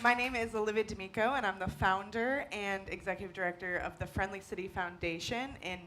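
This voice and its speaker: A woman's voice: medium pitch, brisk, a bit bright, slight background noise. A woman in her thirties introducing herself as a panelist, jokey and self-aware. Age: 30s. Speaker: nonprofit founder, panelist.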